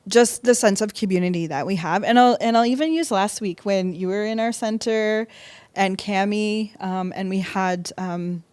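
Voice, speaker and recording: A woman's voice: medium pitch, normal speed, a little mellow, clean tone. A woman chatting informally as an interview guest, tagging points with short questions. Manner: informal, ends points with "right?". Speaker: podcast guest, college staff member. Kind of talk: casual chat in an interview.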